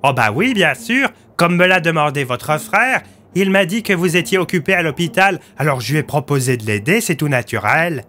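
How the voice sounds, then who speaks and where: Sergent de police naïf et bavard joué par un homme. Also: male voice, on the high side, big pitch swings, moderate pace, few pauses, bright, forceful tone, voice pushed up. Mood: innocent, surprised. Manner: hesitant, rambling, guileless. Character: naive, dim-witted, chatty male police sergeant.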